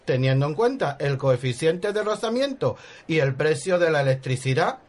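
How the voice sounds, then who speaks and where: A man's voice: a little high, big pitch swings, normal speed. A man playing a pompous, bossy secretary of state in a parody radio soap opera, speaking in emphatic repetitions. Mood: bossy, insistent.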